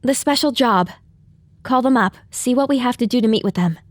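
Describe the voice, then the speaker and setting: Woman as a high-voiced, feisty little pony. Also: normal speed, no echo, close to an everyday voice. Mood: sarcastic, determined. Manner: feisty, argumentative, sarcastic. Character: small, headstrong, curious young pony mare adventurer. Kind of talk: audio drama acting.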